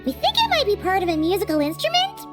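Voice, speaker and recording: A woman voicing a small, childlike, cheerful fairy companion in a video game, with a squeaky, perky, chatty delivery. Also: wildly swooping pitch, fast, full character voice. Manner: perky, refers to self in third person. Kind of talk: video game character dialogue. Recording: music behind the voice.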